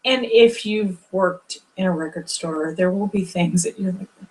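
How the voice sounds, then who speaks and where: A woman co-hosting a casual movie review chat, an animated storyteller with a loud, well-projected voice. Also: mid-range voice, normal speed, very noisy. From former record store worker. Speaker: podcast co-host.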